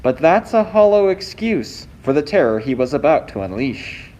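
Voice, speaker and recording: Puppet show narrator, scripted kids story, middle of the road pitch. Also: very animated, normal speed, darkish tone, a little hiss or hum, low-quality recording.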